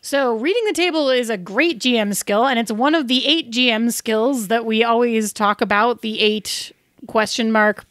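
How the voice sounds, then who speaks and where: A woman's voice: fairly high pitch, normal speed, fairly bright tone, no echo. A woman co-hosting a podcast in an informal, chatty, conversational way, close to the microphone. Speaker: podcast co-host.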